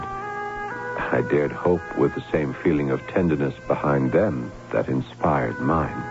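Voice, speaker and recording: A man's voice: low-pitched, exaggerated voice. An actor playing a sorrowful, guilt-torn young nobleman, narrating solemnly in formal, literary words in an old radio drama. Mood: wistful. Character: sorrowful young nobleman, guilt-torn impostor recounting his doomed love. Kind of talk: old-time radio drama acting, first-person narration. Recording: dull vintage radio recording, music behind.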